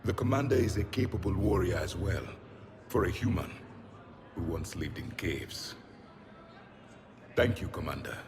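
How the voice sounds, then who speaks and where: An actor playing a proud, ancient alien warrior who speaks in a solemn, deliberate, commanding way in a video game. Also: male voice, sits low, animated, big-room sound, a crowd in the background. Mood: dry, haughty. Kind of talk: dramatic game voice acting.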